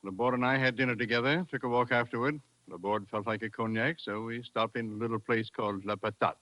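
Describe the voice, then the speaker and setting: An actor playing a matter-of-fact, unruffled businesslike boss in a 1940s radio drama. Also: male voice, medium pitch, fairly even intonation, fast talker, sharp tone, natural voice. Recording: dull vintage radio recording.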